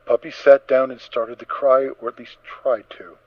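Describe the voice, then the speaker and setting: A man narrating a story as a dramatic reading, in an expressive, theatrical manner. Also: medium pitch, moderate pace, no echo. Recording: slightly dull, dry close recording.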